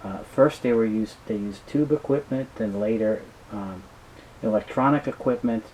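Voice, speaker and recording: A man being interviewed, talking casually and explaining things in a halting way with frequent restarts. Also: on the low side, darkish tone, a little hiss or hum, low-quality recording. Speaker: business founder being interviewed.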